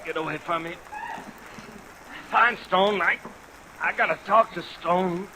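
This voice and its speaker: An actor playing a nervous, evasive small-time crook in a 1950s American radio crime drama, slangy and defensive. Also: male voice, high-pitched, unhurried, bright, forceful tone, heavily acted, low-quality recording. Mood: rattled. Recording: old radio recording under rain noise.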